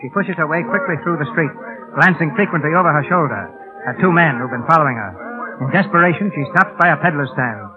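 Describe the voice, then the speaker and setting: An American man presenting and narrating formally in measured, declamatory 1930s radio style, on a muffled vintage recording. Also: on the high side, lively intonation, over music. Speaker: announcer, host and narrator.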